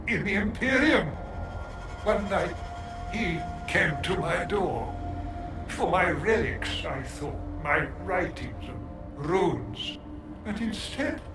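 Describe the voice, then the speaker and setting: An actor playing a broken, captive scholar mage in a video game, grandiose and despairing, rambling in halting, theatrical phrases. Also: male voice, sits high. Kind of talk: dramatic video game acting. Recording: roomy echo, music underneath.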